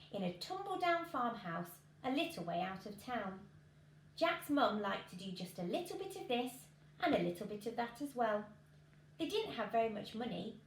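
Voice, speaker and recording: An English woman tells a children's story in a very animated, fairly high voice. She is recorded at home in a roomy-sounding space with some noise. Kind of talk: expressive children's storybook reading. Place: small living room, indoors. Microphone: camera a couple of metres away.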